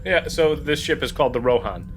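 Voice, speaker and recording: A man's voice: on the high side, animated, fairly quick. A man playing a starship officer in a tabletop game, casually giving orders and talking with the table. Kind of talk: casual role-play table chat. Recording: clear background noise.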